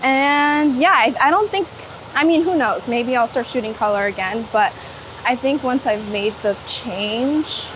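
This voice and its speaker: A woman's voice: on the high side, unhurried, fairly bright tone, a little hiss or hum, poor audio. A woman chats casually and enthusiastically in an interview outdoors on a city street. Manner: enthusiastic, informal, peppered with "like". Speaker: street photographer being interviewed. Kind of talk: casual interview chat while walking. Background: street ambience and passing vehicles.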